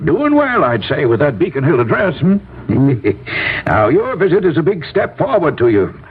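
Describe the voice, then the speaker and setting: An actor playing a fussy, reassuring sanitarium doctor in an old radio drama, with a halting, avuncular manner. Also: male voice, a little high, huge pitch swings, slightly rough, heavily acted. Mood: cheery, encouraging. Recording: narrow-band old radio with faint hiss.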